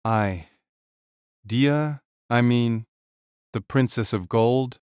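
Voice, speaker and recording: A man's voice: medium pitch, a little mellow, clean tone, dry recording, studio quality. A man playing a timid, hesitant pharmacist mage with a stammer in an audiobook drama. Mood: nervous, halting. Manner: hesitant, halting. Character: timid, pale, stammering male pharmacist mage, inwardly jealous.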